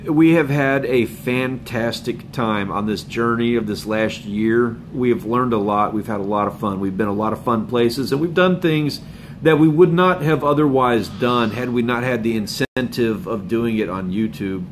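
A man hosts a fishing channel live stream from home, chatting loosely with viewers and sounding hoarse from a cold. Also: medium pitch, normal speed, slight background noise. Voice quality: rambling, laid-back, with chuckles and filler words. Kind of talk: casual live stream chat. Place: home room with bookshelves and a window. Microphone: phone camera up close.

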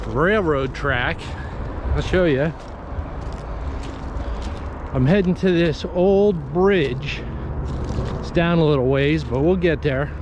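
A man in his forties talks to his viewers in an upbeat, chatty way while he works outdoors on an old railroad bridge. His voice sits a bit high. The wearable action camera picks up clear background noise from outside. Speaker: magnet fishing vlogger. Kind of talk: casual outdoor vlog narration. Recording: noisy outdoor action-cam audio.

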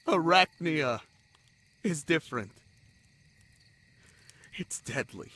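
A man playing an anguished, self-sacrificing young spider-folk lover in a romantic audio drama, halting and pleading in manner. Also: a little high, animated, pushed and bright, full character voice. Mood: agitated, heated.

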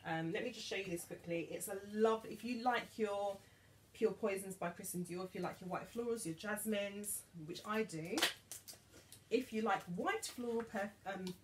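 A British woman in her thirties chatting to camera in a beauty haul vlog, animated and dropping to whispers for asides, filmed in her dressing room. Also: medium pitch, moderate pace, heavy background noise. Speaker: beauty vlogger. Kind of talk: casual haul chat to camera. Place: bedroom dressing room with vanity, indoors.

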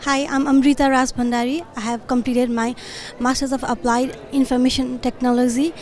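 A woman's voice: sits high, level tone, moderate pace, a little mellow. A young woman, a new graduate, giving a short testimonial into a handheld microphone. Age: young adult. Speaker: graduating master's student. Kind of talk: testimonial to camera.

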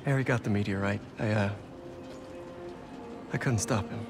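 An actor playing a weary, vulnerable American superhero in a video game, halting and subdued, full of feeling. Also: male voice, fairly low pitch, music in the background, close to an everyday voice. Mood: grieving, despairing. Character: grieving, weary adult male superhero, vulnerable mentor. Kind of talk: video game cutscene acting.

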